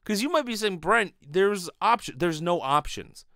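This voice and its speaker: A man in his thirties hosting a podcast, delivering an animated, rambling, sweary comedic monologue into a close studio microphone. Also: sits high, moderate pace, vocal fry, no echo. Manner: animated, profane, rambling storytelling. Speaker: podcast host. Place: small bedroom, indoors. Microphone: close desk studio microphone with pop filter.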